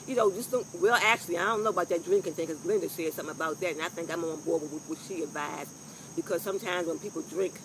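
An older woman with a loud voice, giving frank, rambling workplace advice to a phone camera outdoors, with salty asides. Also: fairly low pitch, slight background noise, rough recording. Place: outdoors on a lawn by trees. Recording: faint insects and crickets outdoors.

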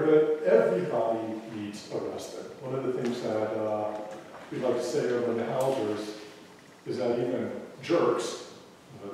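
A man in his thirties with an Atlanta accent and a big voice speaks casually to an audience, often checking in with a quick "right?". He is recorded from far off in a small hall, so there is heavy echo and a long reverb tail, with faint room noise. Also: mid-range voice, a bit bright. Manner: conversational, asks audience rhetorical questions. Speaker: guest speaker. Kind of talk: casual spoken talk to an audience. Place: small hall used for church meetings, indoors. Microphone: far camera, room audio.

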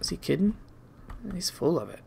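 A man's voice: on the high side, very animated, normal speed, vocal fry, background noise. A man giving casual video game commentary, rambling and muttering playfully to himself as he plays.

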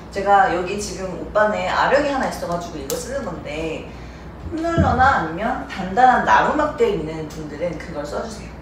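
A young woman, a stretching instructor, patiently explaining calf stretches step by step while demonstrating them. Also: medium pitch. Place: bright living room with wooden floor. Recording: echoey room, distant mic, loud music bed.

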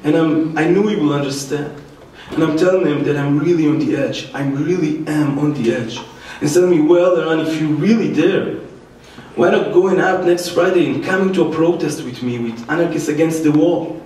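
Echoey, high-pitched Israeli man telling his story. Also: moderate pace, dark tone. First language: Hebrew. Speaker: activist speaker, former soldier. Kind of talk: personal storytelling to an audience. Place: large indoor church. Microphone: distant microphone. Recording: echoey church, distant mic, faint noise.

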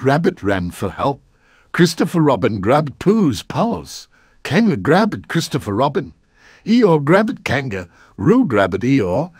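Very animated, husky male storybook narrator. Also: mid-range voice, dry recording, clean studio sound. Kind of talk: expressive children's read-aloud.

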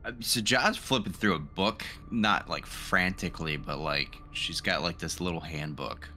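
A man's voice: sits low, normal speed, pushed and bright, faint music behind. A man playing a tabletop game, casually describing his character's actions and searching for words.

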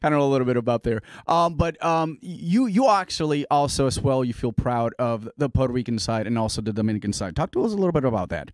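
A man's voice: medium pitch, expressive, quick pace, few pauses, no room sound, studio quality. A man co-hosting a podcast interview, chatty and full of restarts. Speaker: podcast co-host. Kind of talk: casual interview.

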